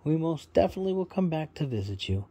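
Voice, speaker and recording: A man's voice: on the high side, sing-song, fast talker, warm tone. A man voicing a polite young boy on a forest adventure in a children's bedtime story, hesitant and marveling. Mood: delighted.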